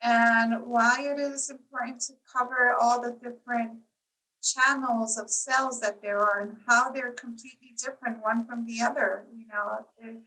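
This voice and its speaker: Chatty, bright-voiced woman guest. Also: on the high side, far from the mic, rough recording. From Costa Rica. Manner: chatty, many "you know" fillers. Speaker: tourism marketing agency owner, guest. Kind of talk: casual interview answers.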